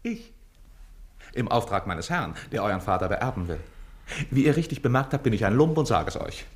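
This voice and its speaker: Sly, mocking rogue of a servant. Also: male voice, on the low side, very animated, moderate pace. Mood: sly, persuasive. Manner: sly, teasing, theatrical. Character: cunning male servant, roguish, mocking, brazen swindler. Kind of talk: German radio play acting.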